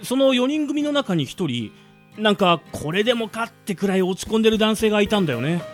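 A man playing a friendly, chatty, excitable train hand strap in a comic radio drama. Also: sits high, a little fast, soft background music. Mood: eager, gossipy.

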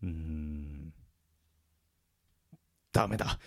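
A man's voice: extremely low pitch, very slow, a bit bright, no echo, studio quality, exaggerated voice. A man playing a skeptical, grumbling young man in a radio drama, speaking bluntly and casually. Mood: puzzled, muttering. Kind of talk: Japanese radio drama acting with monologue.

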